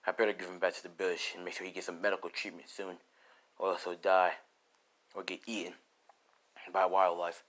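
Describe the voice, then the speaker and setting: A man voicing a calm shadowy stranger who finds an injured boy, measured and concerned. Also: low voice, voice pushed down.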